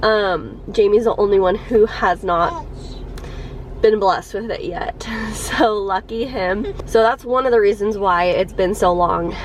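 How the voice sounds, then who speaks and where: A woman's voice: mid-range voice, a little hiss or hum, rough recording. Congested woman chatting to her camera. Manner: chatty, many ums and likes, laughs a little. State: congested from a cold. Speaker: vlogger. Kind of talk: casual chat to camera. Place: parked car.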